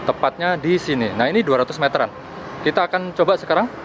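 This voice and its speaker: A man's voice: fairly high pitch, lively intonation, fast talker. A young man vlogger casually explaining a field test as he walks, repeating himself, recorded outdoors at arm's length. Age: young adult. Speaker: vlogger reviewing gadgets. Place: outdoors in open plazas, park and street. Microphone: camera at arm's length. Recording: noisy outdoor street and park ambience.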